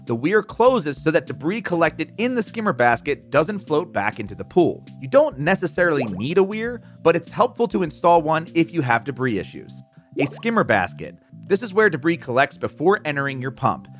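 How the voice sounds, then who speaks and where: A man giving scripted how-to narration in a clearly enunciated explainer voice. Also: fairly high pitch. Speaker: instructional narrator. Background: soft background music.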